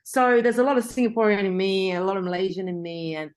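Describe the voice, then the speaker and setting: A woman's voice: mid-range voice, steady tone, fast, clear, resonant tone. A middle-aged woman, a journalist, talking casually in an interview with frequent restarts. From Australia. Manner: chatty, frequent restarts and laughter. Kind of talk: interview conversation.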